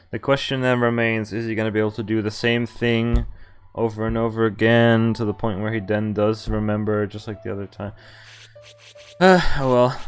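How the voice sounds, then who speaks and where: A man streaming a game, reading its text aloud and commenting informally, in a halting way as he thinks out loud. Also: lowish voice, clear, resonant tone. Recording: music under the voice. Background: game music.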